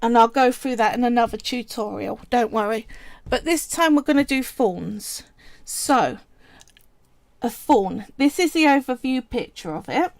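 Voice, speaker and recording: A woman's voice: on the high side, lively intonation, vocal fry, no echo. A woman casually talking viewers through a polymer clay sculpting project on a live stream, chatty and explanatory. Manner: chatty and explanatory, often pausing to say "right" and "so".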